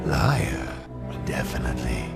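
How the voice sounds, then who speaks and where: Very gravelly, sneering vampire villain. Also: male voice, very deep voice, wildly swooping pitch, slow, music in the background, heavily acted. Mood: sneering, menacing. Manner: menacing, sneering, theatrical. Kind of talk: melodramatic video game villain acting.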